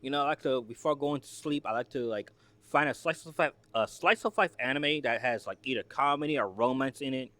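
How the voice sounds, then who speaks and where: A man's voice: mid-range voice, brisk, pushed and bright, no room sound. A man from the United States hosting a reaction video, talking casually in a rambling, filler-heavy, chatty way.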